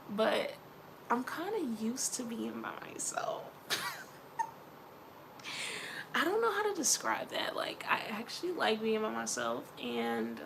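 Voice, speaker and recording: A young woman with a Philadelphia accent chats in a loose, rambling vlog. Her voice is bright and forceful, with a gravelly fry and a slightly high pitch. A faint hiss sits behind her. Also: rough recording. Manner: chatty, rambling, laughs often, faith talk. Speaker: vlogger. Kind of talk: casual personal vlog.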